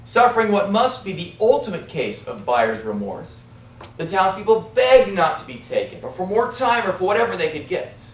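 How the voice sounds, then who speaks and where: A man telling a tall tale to an audience as an amateur contest speaker, with dramatic, deliberate delivery and emphatic pauses. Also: high voice, sing-song. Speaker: amateur storyteller in a speech contest. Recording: roomy, distant, muffled club-room recording.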